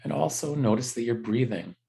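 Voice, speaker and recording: A man teaching a guided meditation in a gentle, unhurried, conversational way with halting pauses. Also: medium pitch, expressive, gravelly.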